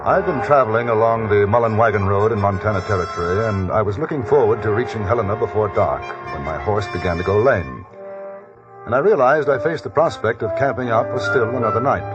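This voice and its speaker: An actor playing a courteous, worldly English gentleman reporter in a western radio drama, with a British accent. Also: on the low side, brisk, lightly acted. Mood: measured. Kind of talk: 1950s radio drama acting and narration. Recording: dull vintage 1950s radio recording, faint music.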